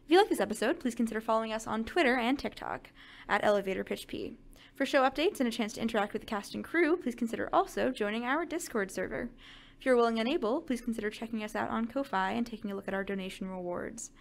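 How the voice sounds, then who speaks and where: Slightly high-voiced woman reading credits. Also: animated, quick pace, gravelly. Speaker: credits announcer. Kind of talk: scripted credits read.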